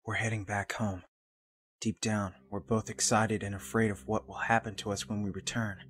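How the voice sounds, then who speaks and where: An actor playing a dutiful, conflicted angel soldier, earnest and brooding, in a visual novel game. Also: male voice, lowish voice, level tone, normal speed, breathy edge. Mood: reflective, anxious. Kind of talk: visual novel game acting and inner monologue. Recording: loud music over the voice. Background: music.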